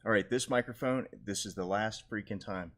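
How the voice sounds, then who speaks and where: A man hosting a live show, chatting in a relaxed, rambling way with fillers. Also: on the low side, normal speed. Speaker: host. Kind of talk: casual live show chat.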